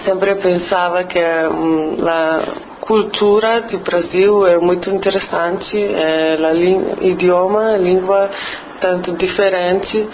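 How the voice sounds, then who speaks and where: A woman's voice: lowish voice, steady tone, slow, muffled recording, a little hiss or hum. A young woman from Slovenia with a Slovene accent, speaking hesitant learner's Portuguese in an interview. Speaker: student. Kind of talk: interview answer.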